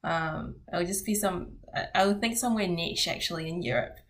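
Young woman, lowish voice, Cantonese at home, relaxed chat with lots of ums and laughs. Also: brisk. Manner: hesitant, many ums, lively and giggly. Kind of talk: casual chat.

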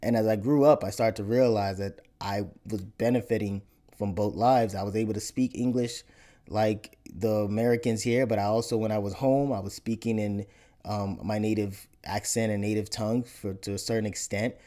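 Man from Nigeria, low voice, mellow tone, thoughtful, podcast chat. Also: fairly even intonation, normal speed. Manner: thoughtful, repeats words while explaining. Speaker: podcast host. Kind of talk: casual interview chat.

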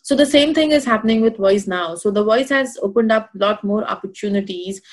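A woman's voice: medium pitch, fairly quick. Indian-accented woman, filler-heavy chat. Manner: many fillers, thinks aloud with "you know" and "uh". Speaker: guest expert. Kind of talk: casual conversational interview.

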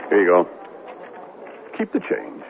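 An actor playing a boastful, manipulative TV reporter in a radio drama, cajoling and self-important. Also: male voice, measured pace, a little hiss or hum, lightly acted. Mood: scheming, proud. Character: boastful, manipulative male TV reporter pleading with his ex. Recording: tinny narrowband radio broadcast, music behind.

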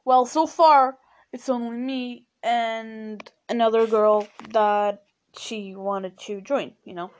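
A woman's voice: a little high, fairly even intonation, slow. A teenage girl from Portugal, a native Portuguese speaker, rambling sleepily in a casual personal chat and saying "you know" a lot. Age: teens. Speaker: podcast host.

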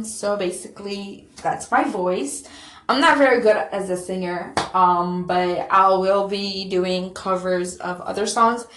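A woman's voice: mid-range voice, a bit bright. A woman vlogger chatting casually and self-deprecatingly to her viewers, full of fillers. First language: not Korean. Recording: echoey room.

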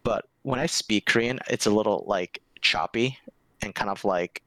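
A man's voice: on the low side, normal speed, fairly bright tone, dry recording. A young American man chatting casually as a podcast guest, laid-back and candid, with lots of "like". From United States. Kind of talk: casual interview chat.

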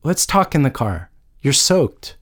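A man's voice: mid-range voice, very animated, measured pace. A man voicing an older woman academic in a story, a doting mother who is earnest, persuasive and placating. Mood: tender, urging.

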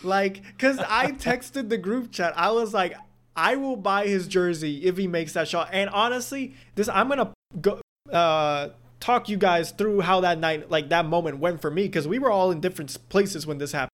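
A man in casual sports chat, excitedly telling a fan story. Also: high-pitched, normal speed.

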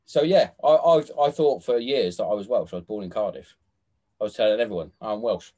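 A man's voice: lowish voice, a little fast, darkish tone. A man hosting a casual chat, swearing freely, laughing often and restarting his sentences. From England. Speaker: podcast host.

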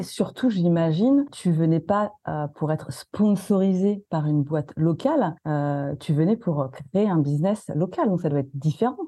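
A woman hosts a relaxed business interview, talking in a chatty way with plenty of hesitations. Her voice is very dark and mellow and sits low. The recording is dry and close. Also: no room sound. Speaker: podcast host. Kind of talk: casual interview. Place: in Australia.

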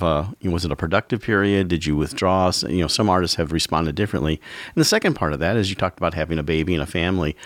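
Older male host, very deep voice, dry studio sound, easygoing interviewer. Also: no echo. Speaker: radio show host. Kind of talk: interview questions.

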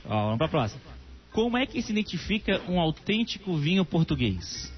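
A man hosting a radio show, telling jokes in animated banter. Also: high-pitched, fairly quick, muffled recording, soft background music. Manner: animated joke-teller.

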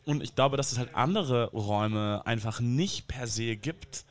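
A man's voice: mid-range voice, unhurried. A man taking part in a casual discussion, thoughtful and hedging, with fillers. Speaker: guest.

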